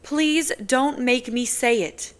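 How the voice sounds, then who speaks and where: A woman voicing a flustered, defensive young woman in an audiobook, her lines pitched high and strained. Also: slow pace. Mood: pleading.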